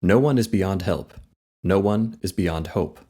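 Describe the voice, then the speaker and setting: Deep voiced guy doing the show intro, slow and careful. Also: warm tone. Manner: slow and deliberate. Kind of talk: scripted announcement.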